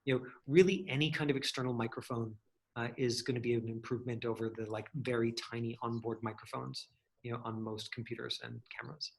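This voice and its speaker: A man's voice: mid-range voice, fairly even intonation, brisk, creaky, low-quality recording. A man with a mid-range voice speaks as an acting teacher in an online class. He talks casually and thinks aloud, circling around his points with plenty of hedging.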